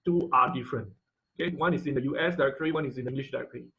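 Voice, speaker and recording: A man's voice: medium pitch, rapid-fire, vocal fry, bright, forceful tone. A man giving an informal live online technical class as instructor, often repeating words and rephrasing mid-sentence. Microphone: video call microphone. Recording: dull, lo-fi video call audio.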